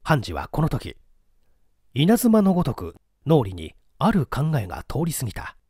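A man's voice: mid-range voice, huge pitch swings, moderate pace, a little mellow, breathy edge, no room sound, clean studio sound. A man reading a mystery story aloud as scripted narration. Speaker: audiobook narrator.

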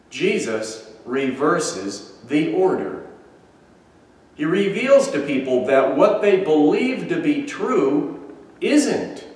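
A man in his sixties, a pastor giving a measured, emphatic sermon with deliberate pauses, filmed by a camera across a small church sanctuary. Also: high voice. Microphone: far camera. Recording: echoey church from far off.

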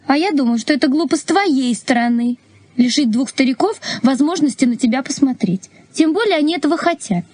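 An actress playing a spirited, teasing young woman in love in a Soviet radio play, with a husky voice and light, expressive delivery. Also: high voice, brisk, no echo. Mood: teasing, reproachful.

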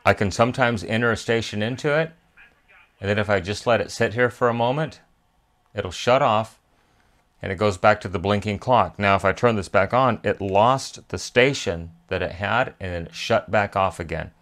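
Low-pitched man narrating a repair. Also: moderate pace, fairly bright tone. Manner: methodical, step-by-step, thinking aloud. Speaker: repair channel host. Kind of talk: hands-on repair tutorial narration. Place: indoor electronics workbench.

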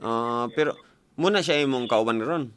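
A man's voice: mid-range voice, normal speed, fairly bright tone. A young Filipino man with a Cebuano accent, hosting a casual call-in radio chat in a playful, teasing way on a studio mic. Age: young adult. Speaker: radio host. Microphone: boom-arm studio mic, headphones. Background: music playing.

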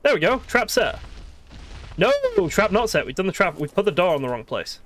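A man giving casual, sweary live commentary as he plays a video game, excitable and exasperated, muttering to himself and at the game's creatures. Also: high-pitched, quick pace, a little hiss or hum.

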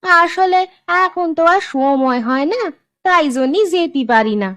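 A woman's voice: very high-pitched, expressive, unhurried, a bit bright, full character voice. A woman voicing a respectful, friendly village woman in a cartoon. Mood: polite, concerned. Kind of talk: Bangla cartoon voice acting.

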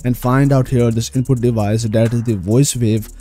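A man's voice: mid-range voice, steady tone, normal speed, dark tone. A man narrating a screen tutorial as host, repeatedly addressing viewers directly. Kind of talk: screen-recorded tutorial voice-over.

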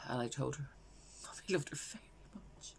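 Woman acting a halting, creaky-voiced Irish mother. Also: rumbling low, expressive, a little hiss or hum, full character voice. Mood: hushed grief. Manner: halting, confiding, understated. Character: grieving working mother haunted by her loss. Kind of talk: dramatic stage monologue, naturalistic.

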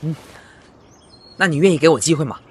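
A man's voice: fairly high pitch, brisk, husky. A man acting a patient young suitor in an audio drama, coaxing and half-teasing.

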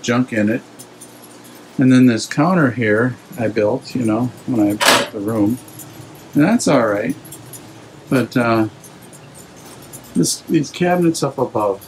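A man's voice: medium pitch, measured pace, low-quality recording. Middle-aged dude filming his fish room on a phone, lots of ums, kinda hesitant, faint hum in back. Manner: rambling, hesitant, full of fillers. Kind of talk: casual walkthrough narration. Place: home fish room, indoors.

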